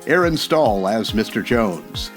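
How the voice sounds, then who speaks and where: A dramatic radio-serial announcer acted by a man, declamatory and very animated. His voice is mid-pitched, with music playing behind it. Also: slow pace. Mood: composed. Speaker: announcer and narrator. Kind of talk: old-time radio serial narration.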